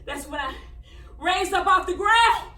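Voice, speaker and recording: Echoey far-off recording, woman playing a scared young country girl in a stage monologue, halting and haunted, voice swings all over. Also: expressive, sharp tone, exaggerated voice. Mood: tense, defiant. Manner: halting, repeating words, highly emotional storytelling. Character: frightened young country girl recounting an attack, haunted, defiant. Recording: echoey room, distant mic, faint noise.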